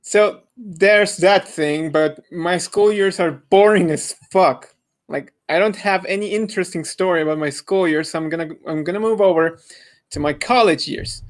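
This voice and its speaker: A man's voice: sits high, moderate pace. Young man in casual podcast chat. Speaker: podcast member.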